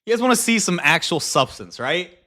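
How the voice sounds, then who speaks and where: A man's voice: high-pitched, a little fast. A young man hosting a video, talking casually with swearing, then narrating a documentary intro. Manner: animated, laughs often, swears casually. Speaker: YouTube host.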